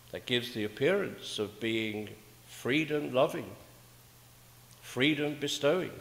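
An older man delivers a sermon at an unhurried, deliberate pace. His phrasing is rhetorical, with weighty pauses and repetition, and his mid-pitched voice rings through an echoey church with long reverb. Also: vocal fry, fairly bright tone. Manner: measured, rhetorical, with emphatic pauses and repeated phrases. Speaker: preacher. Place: indoors, small church at a pulpit.

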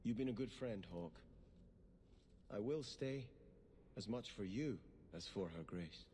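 An actor playing a solemn, loyal companion in a fantasy video game, speaking in a measured, earnest, formal way. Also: male voice, lowish voice, expressive. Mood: solemn, sincere. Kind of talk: scripted video game dialogue. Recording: quiet game audio with long reverb.

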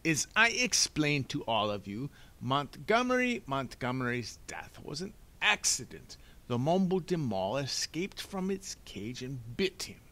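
A man's voice: mid-range voice, very animated, unhurried, sharp tone, pitched above the performer's own voice, exaggerated voice. A man voicing a smug male doctor in a storybook, brusque and dismissive of others.

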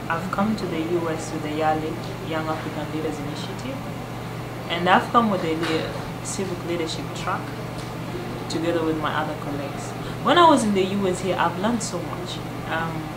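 A woman's voice: sits low, normal speed. A young woman from South Sudan speaking earnestly to camera about her program experience, with a few hesitations. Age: young adult. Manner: earnest, reflective, some hesitations. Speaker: young leaders program participant. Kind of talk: interview to camera. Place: living room of a residence, seated on sofa. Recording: echoey room with loud hiss.

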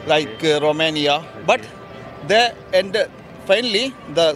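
Young man, Indian accent, high-pitched, insistent, repetitive, opinionated street interview, handheld mic outdoors. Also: expressive, a little slow, a bit bright, faint music behind. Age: young adult. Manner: insistent, repeats himself. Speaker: interviewed visitor. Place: busy outdoor exhibition plaza. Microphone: handheld reporter microphone.

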